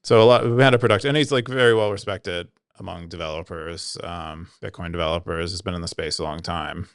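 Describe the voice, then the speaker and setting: A man's voice: low voice, steady tone, fairly quick, clean tone, no echo, clean studio sound. A man co-hosting a casual podcast, explaining technical ideas plainly in a loose, chatty way with lots of fillers. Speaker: podcast co-host. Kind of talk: casual chat.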